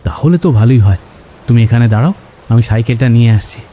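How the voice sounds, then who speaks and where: A man's voice: mid-range voice, quick pace, dark tone, a little hiss or hum, lightly acted. A man playing a cautious, protective village husband in a horror cartoon. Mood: hesitant. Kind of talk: Bengali horror cartoon dubbing.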